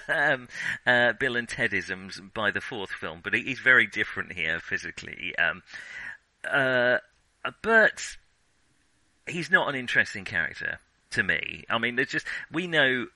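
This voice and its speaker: A man's voice: mid-range voice, bright, forceful tone, dry recording, low-quality recording. A man co-hosting a podcast, talking casually about films in a hesitant, digressive way as he thinks aloud. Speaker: podcast co-host. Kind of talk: casual film discussion.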